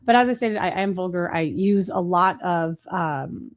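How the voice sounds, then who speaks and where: A woman hosting a marketing webinar, talking fast and casually with fillers and restarts. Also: on the low side, lively intonation. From Chicago, USA. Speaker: webinar host.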